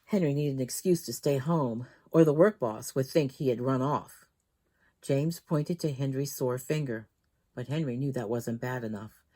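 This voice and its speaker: A woman storytime host reading a children's book aloud in an expressive, dramatic way that leans on key words. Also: a bass voice, moderate pace.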